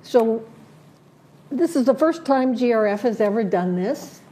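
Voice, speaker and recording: A woman serving as a community board director, laying out her plans in an interview, listing points slowly with many fillers and restarts. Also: on the high side, expressive, dark tone, rough recording. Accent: Southern Californian. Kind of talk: prepared statement in an interview.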